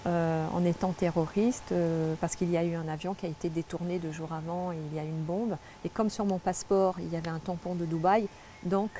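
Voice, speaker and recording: A middle-aged woman from French Guiana recounts her path in a slow, reflective interview manner, often restarting her sentences. Her voice sits low and sounds soft and mellow. A faint hiss of noise runs under the recording. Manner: earnest, reflective, many restarts and fillers. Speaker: interview guest, spiritual guide. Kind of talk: personal testimony in conversation.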